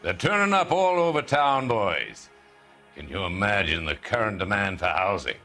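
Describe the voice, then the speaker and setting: An actor playing a slick, self-assured middle-aged real estate tycoon in a video game, smooth-talking and condescending. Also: male voice, on the low side, very animated, moderate pace, bright, forceful tone, faint music behind, full character voice. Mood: breezily evasive. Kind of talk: dramatic video game acting.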